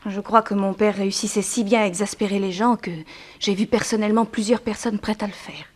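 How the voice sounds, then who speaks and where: A young woman of a planter's family, bereaved yet composed, played by an actress in a 1960s French radio play. She speaks frankly in a middle-pitched voice, with measured, theatrical diction and a guarded edge. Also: steady tone, lightly acted. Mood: thoughtful.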